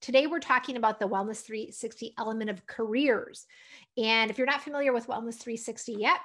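A woman's voice: a little high, a little fast, fairly bright tone. An American woman, around her thirties or forties, giving an encouraging, conversational instructional talk to camera as a wellness coach. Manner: encouraging, conversational, often ending points with "right?". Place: furnished room at home, indoors. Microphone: desktop condenser microphone.

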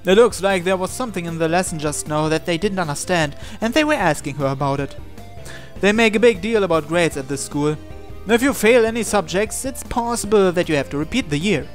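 A let's play host reads visual novel narration aloud and acts out the dialogue with expression. The voice sits at a middle pitch, with light game music playing behind it. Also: lively intonation, a little fast.

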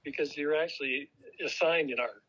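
Over a muffled phone recording, a middle-aged American man talks in a polite, casual way. He is hesitant and halting as he explains himself. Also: a little high, a bit bright, slightly rough. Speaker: congregation elder on a phone call.

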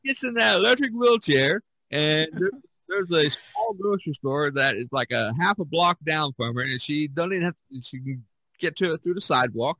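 A man's voice: fairly high pitch, expressive, moderate pace, sharp tone, dry recording, rough recording. A middle-aged or older American man from Indiana hosting a casual podcast chat, often chuckling as he talks. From Indiana, United States. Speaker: podcast host.

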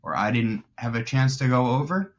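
A man's voice: mid-range voice, moderate pace. A man in his thirties reading aloud to young children as their teacher, explaining things in simple terms. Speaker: elementary school teacher.

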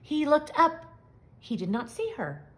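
A woman reading a picture book aloud to young children, with expressive, simple and emphatic phrasing. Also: high voice, unhurried. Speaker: children's story reader. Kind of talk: expressive storybook read-aloud.

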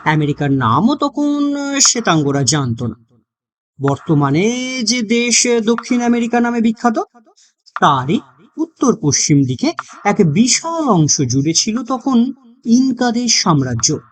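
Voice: mid-range voice, sing-song, a little slow. A narrator reading a scripted horror mystery story in a dramatic storytelling manner.

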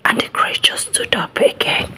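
An African-accented woman telling a folktale as ASMR in a hushed, drawn-out, deliberate whisper. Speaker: ASMR storyteller.

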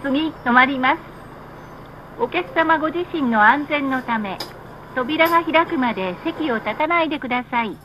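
A woman reading scripted stop announcements on a bus in a measured, formal, polite manner. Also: high voice, lively intonation, pushed and bright. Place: played over speakers inside a moving bus. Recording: muffled, noisy recording inside a bus. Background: bus engine and road noise.

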